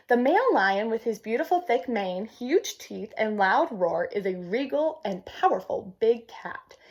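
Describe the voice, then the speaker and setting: A woman's voice: on the high side, slightly rough. A woman reading a children's nature book aloud, with casual teacherly asides to young listeners. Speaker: read-aloud presenter.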